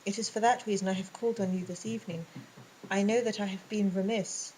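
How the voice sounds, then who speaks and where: A woman voicing a young male curate who speaks quietly, hesitantly and apologetically. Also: mid-range voice, steady tone, fairly quick, slight background noise, lightly acted, low-quality recording. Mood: apologetic. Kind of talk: audiobook reading.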